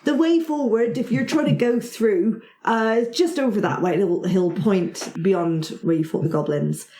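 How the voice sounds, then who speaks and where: A woman's voice: medium pitch, unhurried, dark tone, a little hiss or hum. A woman narrating and refereeing a tabletop game as game master. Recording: roomy, echoey recording.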